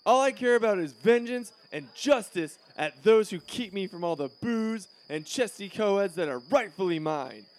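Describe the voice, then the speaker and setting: A man's voice: high-pitched, lively intonation, normal speed, few pauses, darkish tone. A man playing a pampered, bratty male student in a comedy series, petulant and full of bluster. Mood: indignant.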